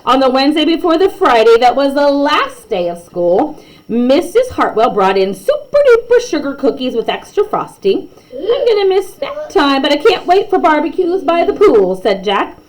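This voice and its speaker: A woman's voice: high-pitched, sing-song, normal speed, poor audio. A woman reading a children's bedtime story aloud expressively, with chatty asides to young listeners.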